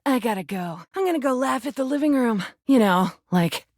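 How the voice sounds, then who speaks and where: A woman's voice: fairly high pitch, animated, moderate pace, a little mellow, dry recording, clean studio sound. A woman voicing a crude, flirty, brash rubber duck come to life in a video game, with a sarcastic, taunting manner. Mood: dry, scornful. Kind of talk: video game character voice lines.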